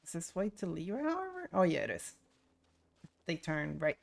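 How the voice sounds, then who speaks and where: A woman's voice: on the low side, wildly swooping pitch, normal speed, gravelly, a little hiss or hum. A woman streaming a video game, giving casual, laid-back commentary and reading game text aloud. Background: soft game music.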